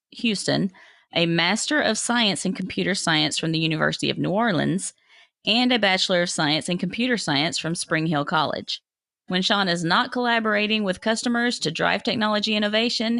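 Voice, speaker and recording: A woman co-hosting a business podcast, her voice a little scratchy from a cold coming on. She reads the guest introduction in a measured way and then asks friendly questions. The sound is dry and close, with no room echo. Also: mid-range voice, gravelly, a bit bright. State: slightly hoarse, coming down with a cold. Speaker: podcast co-host.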